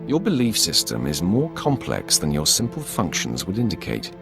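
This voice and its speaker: A man playing a proud, commanding dark warrior in a video game, speaking in a haughty, formal way. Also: low voice, huge pitch swings, normal speed, vocal fry, over music, exaggerated voice. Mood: coolly curious. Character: proud, commanding male Sith warrior, the Emperor's enforcer. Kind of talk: scripted video game dialogue.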